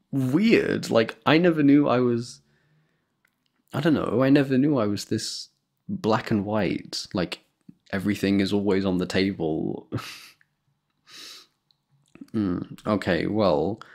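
Deep, gravelly young man, slow and playful. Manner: playful, laughing, affectionate. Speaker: boyfriend roleplay performer. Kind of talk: ASMR boyfriend roleplay.

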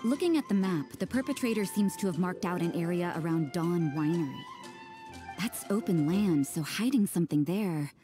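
A woman's voice: lowish voice, normal speed, music in the background, close to an everyday voice. A woman voicing an aloof adult nun in a game cutscene, talking curtly in short clipped lines. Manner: curt, flat, clipped. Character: cool aloof adult nun, blunt and terse.

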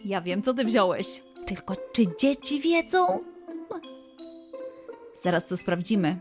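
A woman presenting a children's quiz as host, posing questions and answers in a clear way for young listeners. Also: mid-range voice, sing-song, takes it slowly, over music.

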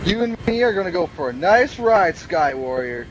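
A man's voice: sits high, sing-song, normal speed. A man ranting while playing an online game, irate, sarcastic and foul-mouthed. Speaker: gamer, squad leader. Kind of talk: heated online gaming rant. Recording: very noisy game capture with gunfire and vehicles. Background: video game gunfire and vehicle noise.

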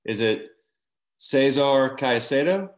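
A man's voice: medium pitch, expressive, slow. Rambling podcast host man, roomy sound. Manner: friendly, rambling with fillers and restarts. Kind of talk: interview conversation.

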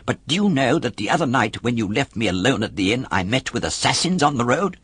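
An eager little puppet boy from a storybook, acted out by a man, speaks in a raised, lively voice. He is naive, earnest and excitable, with a husky edge. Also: medium pitch, brisk, dry recording, voice pushed up.

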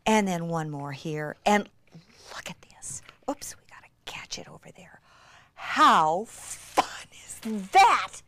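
Excitable woman crafting instructor, swooping intonation. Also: lowish voice, slow pace, dry recording. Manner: excitable, gushing, with breathy gasps and exclamations. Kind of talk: enthusiastic hands-on craft demonstration.

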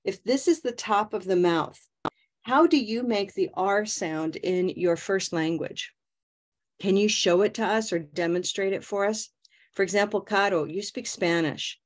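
A middle-aged woman teaching English pronunciation on a video call, modeling words slowly and prompting learners. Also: medium pitch. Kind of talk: slow, clear pronunciation coaching. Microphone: webcam on a video call.

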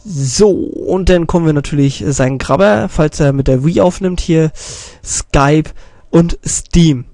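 A man's voice: a little high, slow pace, warm tone, no echo, studio quality. Young man rambling through a channel review. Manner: rambling, self-correcting, many fillers. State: slight cold, clearing his throat. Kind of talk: casual screen-recorded commentary. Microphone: desk stand microphone.

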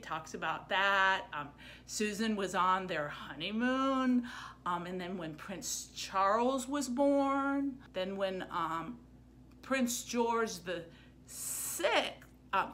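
A middle-aged American woman giving an informal book talk to camera as a librarian, halting and full of ums. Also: fairly high pitch, pushed and bright. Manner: halting, hesitant, with very frequent ums. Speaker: librarian and book-stream host. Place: small plain indoor room. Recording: noticeable background noise, room ambience.

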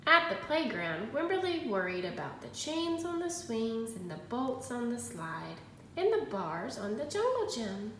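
A woman in her thirties, a kindergarten teacher giving an encouraging read-aloud lesson to young children, pausing after questions. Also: big pitch swings, fairly bright tone. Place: indoors at home, small room. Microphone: phone at arm's length. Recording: roomy echo, faint noise and rumble.

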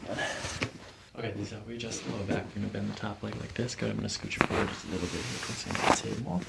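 Creaky-voiced male chiropractor giving soothing cues. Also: fairly low pitch, fairly even intonation, fast. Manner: soothing, repetitive short cues. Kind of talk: quiet hands-on instruction to a patient, ASMR. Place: small carpeted treatment office. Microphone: boom-arm microphone near the treatment table. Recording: noisy room recording with clicks.

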